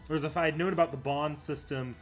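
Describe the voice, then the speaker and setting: A man's voice: a little high, fairly even intonation, quick pace. A man hosting a livestream, chatting casually with viewers and rambling as he thinks aloud. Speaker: livestream host. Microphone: desktop mic at a computer. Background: music.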